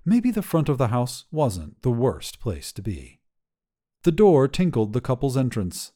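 A man's voice: medium pitch, wildly swooping pitch, unhurried, warm tone. A man reading scripted short fiction as the narrator. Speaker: story narrator.

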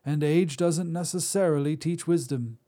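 A man's voice: a little high, moderate pace, dark tone, pitched above the performer's own voice, natural voice. A man putting on a wry old witch woman. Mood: wry, mocking. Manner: mocking, lilting. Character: old weary female witch, wry and sharp-tongued.

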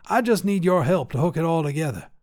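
A man's voice: fairly high pitch, animated, quick pace, a little mellow, pitched above the performer's own voice, lightly acted. A man voicing a stubborn old grandfather who tinkers with inventions, talking in a folksy, clipped, insistent way.

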